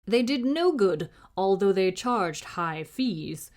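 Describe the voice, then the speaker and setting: A proud little knight from a storybook, voiced by a woman reader. He speaks in formal, old-fashioned phrases with great dignity and is easily indignant. The pitch moves in lively swings. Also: slow. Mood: grave storytelling. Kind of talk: storybook character reading.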